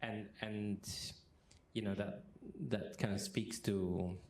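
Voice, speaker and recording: A man's voice: lowish voice, flat delivery, slow pace, darkish tone. A man interviewing a guest before a university audience, talking hesitantly with many restarts. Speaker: interviewer, history professor. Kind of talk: moderating a public interview.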